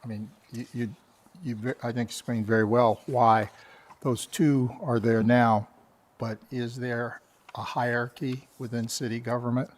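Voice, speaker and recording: A man on a city commission asks a thoughtful question at a public meeting. His voice sits in a middle pitch. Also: fairly even intonation, unhurried. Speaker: commission member.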